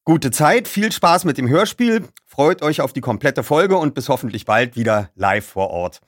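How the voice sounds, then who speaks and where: A man's voice: medium pitch, animated, normal speed, no room sound, clean studio sound. A man presenting a radio play feature, talking casually and reading out plot points.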